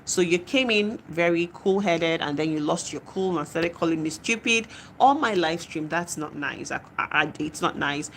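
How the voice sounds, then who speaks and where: A woman's voice: on the low side, normal speed, few pauses, fairly bright tone, slight background noise. A young adult woman with a Nigerian accent, chatting casually on a live stream as she reads out and answers viewer comments, laughing often.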